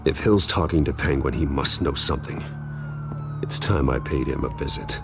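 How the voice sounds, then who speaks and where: Very low brooding vigilante hero. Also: male voice, huge pitch swings, moderate pace, noisy background, exaggerated voice. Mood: grim, focused. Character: brooding male masked vigilante billionaire, determined and guarded. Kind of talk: dramatic video game acting.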